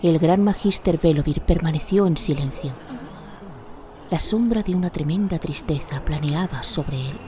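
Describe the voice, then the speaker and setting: A woman's voice: deep voice, normal speed, a crowd in the background. A woman narrating a fantasy radio drama in a dramatic, scripted storytelling style. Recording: music behind the narration.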